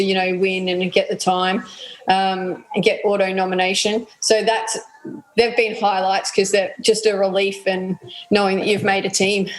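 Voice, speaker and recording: A woman in her thirties with an Australian accent, answering interview questions in a relaxed way, with lots of ums and yeahs. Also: mid-range voice, roomy sound, low-quality recording. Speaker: athlete guest.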